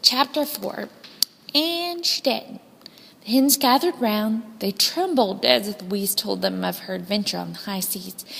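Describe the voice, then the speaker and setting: A woman reading a children's story as scripted narration, with expressive, deliberate phrasing. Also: medium pitch, vocal fry. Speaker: storybook reader. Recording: long hall-like reverb.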